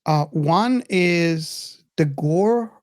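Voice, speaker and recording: A man's voice: a little high, animated, extremely slow, a little mellow. A middle-aged man co-hosting a podcast in casual film discussion, reflective and often searching for his words. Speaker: podcast co-host.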